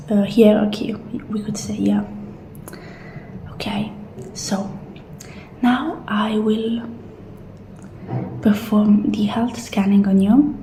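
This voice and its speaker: A woman doing a soft-spoken ASMR roleplay as an assistant, often dropping into a whisper, with gentle, reassuring guidance. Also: mid-range voice, creaky. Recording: roomy echo, faint background noise.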